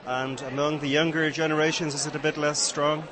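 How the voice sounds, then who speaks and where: A man asks relaxed, curious interview questions out of doors, with the chatter of a crowd clear behind him. His voice sits a little higher than most men's. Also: fairly quick. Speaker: radio interviewer.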